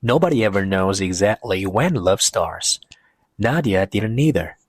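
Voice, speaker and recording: A man narrates a love story in a reflective, scripted style. His voice is low and clouded with a slight huskiness, recorded dry and close. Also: lively intonation, unhurried, no echo.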